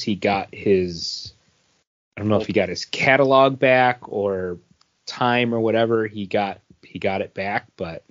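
A man talking informally as a co-host in a relaxed podcast discussion. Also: on the low side, lo-fi sound. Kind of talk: casual panel chat.

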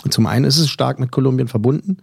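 A man presenting a film segment on the radio, chatty and brisk, with frequent fillers. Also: medium pitch, creaky, a little mellow, slightly rough, no echo, studio quality. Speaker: radio film critic, host.

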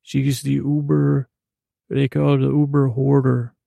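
A man hosting a sleep podcast in a creaky, lulling voice, meandering through a bedtime monologue with long pauses and halting trail-offs. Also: medium pitch, little pitch movement, dark tone, dry recording. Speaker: podcast host. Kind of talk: rambling bedtime monologue meant to lull listeners to sleep.

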